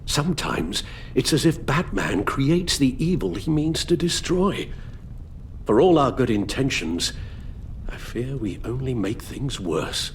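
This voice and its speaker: Elderly British butler, sorrowful, eloquent, formal, widely swinging intonation, game drama, faint rumble underneath. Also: male voice, very animated, a little slow. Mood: weary regret. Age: older. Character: weary, grieving, principled elderly British butler. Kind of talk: dramatic video game acting.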